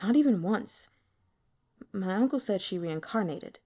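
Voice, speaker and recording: A woman voicing a shy, awkward young man who speaks gently, haltingly and sincerely in an audiobook. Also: mid-range voice, expressive. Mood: wistful. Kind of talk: audiobook character dialogue.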